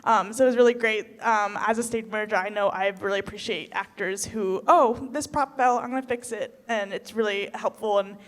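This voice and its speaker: A woman's voice: medium pitch, fairly even intonation, fairly quick, few pauses. A woman giving casual, rambling feedback to performers, often saying "like". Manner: rambling, frequent "like". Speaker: festival adjudicator, stage manager.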